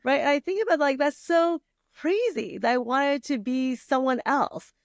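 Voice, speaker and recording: A woman's voice: high voice, normal speed, no echo, clean studio sound. Chatty middle-aged woman, lots of "like". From Vietnam. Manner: chatty, many fillers and restarts, laughs often. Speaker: podcast guest. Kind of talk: casual conversational interview.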